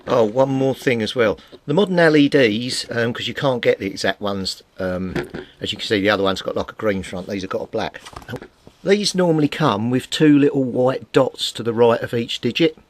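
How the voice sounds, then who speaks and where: A man's voice: medium pitch, moderate pace. A man giving an informal hands-on repair tutorial, explaining methodically step by step. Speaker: presenter of a hobby repair tutorial.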